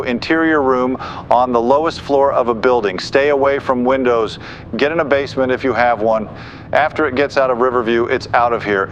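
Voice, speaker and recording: A man with a medium-pitched voice delivers live severe weather coverage. He is grave and insistent, pressing warnings in an unscripted, urgent way. The sound is a little muffled, with faint noise behind him. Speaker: TV weather forecaster giving live tornado coverage.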